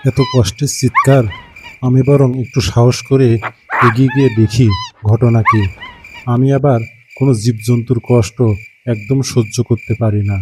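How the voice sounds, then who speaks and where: A man's voice: mid-range voice, steady tone, moderate pace, noisy background, natural voice. A man voicing a kind, lonely village farmer in a cartoon, musing in plain rustic phrasing. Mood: worried, pitying. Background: background music.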